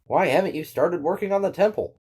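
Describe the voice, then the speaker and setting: An actor playing an earnest, impatient young king in an audio drama, insistent and husky-voiced. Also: high-pitched, a little fast, darkish tone.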